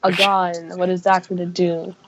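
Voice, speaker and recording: A woman's voice: on the low side, little pitch movement, normal speed. Teasing woman, dull lo-fi sound. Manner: teasing, short interjections. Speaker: co-commentator on gaming video. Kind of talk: casual chat.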